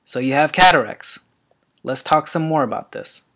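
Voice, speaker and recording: A man's voice: fairly high pitch, lively intonation, normal speed, creaky, a bit bright. Man from Maryland reading a presentation. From Maryland, USA. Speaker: eye surgeon. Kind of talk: read-aloud self-introduction and presentation.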